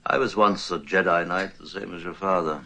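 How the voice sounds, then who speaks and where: An older actor playing a wise old hermit knight, speaking in a calm, measured way. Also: male voice, sits low.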